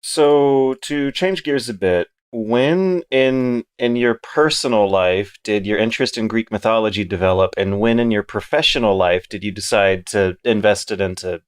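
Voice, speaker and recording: Low-voiced podcast host, smooth and dry. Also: male voice, moderate pace, hardly any pauses. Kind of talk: casual interview questions.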